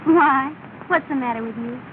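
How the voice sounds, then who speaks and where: A woman's voice: sits high, normal speed, lightly acted. A woman playing a gracious young woman on old film. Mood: teasing. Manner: bright, polite, lightly teasing. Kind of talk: 1930 early sound film acting. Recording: scratchy, tinny 1930 film soundtrack with hiss.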